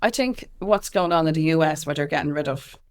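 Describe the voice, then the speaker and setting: A woman's voice: sits low, animated, fairly quick, a little mellow, smooth. A woman with an Irish accent giving earnest, outspoken answers in a conversational interview. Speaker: interview guest, activist and music consultant.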